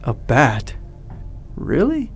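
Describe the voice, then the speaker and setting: A man's voice: mid-range voice, huge pitch swings, drawn-out words, gravelly, faint music behind, heavily acted. An actor playing an easygoing, teasing, protective young man in a naturalistic audio drama. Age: young adult.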